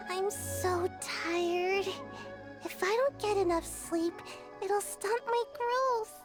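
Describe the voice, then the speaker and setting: A small, high-voiced shapeshifting ninja girl in a video game, dragging her words out extremely slowly. She sounds drowsy, lazy and listless, with music playing loudly behind her. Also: exaggerated voice. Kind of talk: English video game voice acting.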